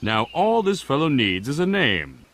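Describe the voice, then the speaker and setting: A man's voice: fairly high pitch, very animated, a little slow, fairly bright tone, voice pushed up, heavily acted. A man reading a children's storybook, voicing a cordial country squire. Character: genial middle-aged male squire.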